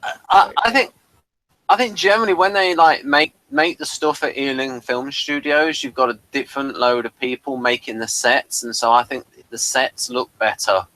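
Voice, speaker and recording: A man co-hosting a podcast with casual episode commentary, halting and repeating words as he thinks. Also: medium pitch, sharp tone, poor audio. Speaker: podcast co-host.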